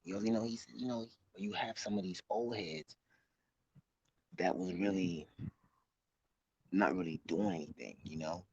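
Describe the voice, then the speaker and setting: Man around thirty, very low creaky voice, slangy casual commentary, halting delivery, noisy outdoor audio. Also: expressive, darkish tone, no room sound. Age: late 20s, about thirty. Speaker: vlog host. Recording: muffled, noisy outdoor recording with clicks.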